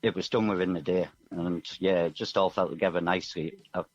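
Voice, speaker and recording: A man's voice: low voice, fairly even intonation, fairly quick, lo-fi sound, a crowd in the background. A middle-aged man chatting casually and reflectively as a musician guest in a radio interview. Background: music playing underneath.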